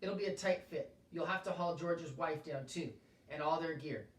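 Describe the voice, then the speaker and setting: A man's voice: fairly high pitch, moderate pace, distant mic, natural voice. A blunt, practical male mountain guide who talks in a matter-of-fact way, read by a man. The room echoes, and the voice sits back from the mic.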